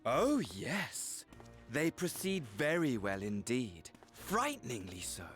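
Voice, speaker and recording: An actor playing a flamboyant, gloating villain in a video game, with florid, courtly mockery. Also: male voice, medium pitch, wildly swooping pitch, over music. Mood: smug gloating. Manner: florid, grandiose, drawn-out phrasing. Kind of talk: video game cutscene acting.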